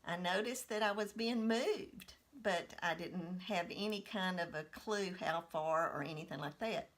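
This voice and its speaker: A woman in her sixties telling a personal childhood story in an unhurried, reminiscing manner. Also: fairly low pitch, a bit bright. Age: 60s.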